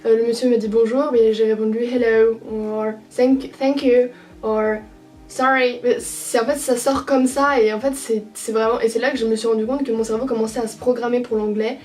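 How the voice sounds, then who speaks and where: A young woman from France chats through her thoughts in a hesitant vlog, stopping often to restart her sentences. Her voice sits a little high yet sounds mellow. She is heard from some distance from the mic, with faint music playing underneath. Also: fairly even intonation, normal speed. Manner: hesitant, many fillers and restarts. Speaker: au pair vlogger. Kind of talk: casual reflective monologue.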